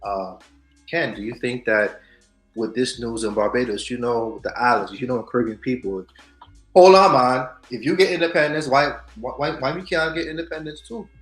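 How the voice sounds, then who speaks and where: Animated male host in an echoey room. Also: medium pitch, normal speed. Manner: animated, swings between loud hype and soft asides. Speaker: podcast co-host. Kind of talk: casual chat on news and culture. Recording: echoey room with faint music.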